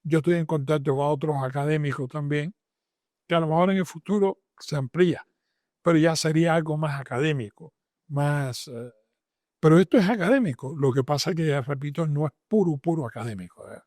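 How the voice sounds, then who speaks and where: A man's voice: a little high, a little fast, a little mellow, no echo, clean studio sound. An older man with a Cuban accent answering interview questions emphatically, repeating phrases as he builds lists. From Matanzas province, Cuba. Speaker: interviewed doctor and author.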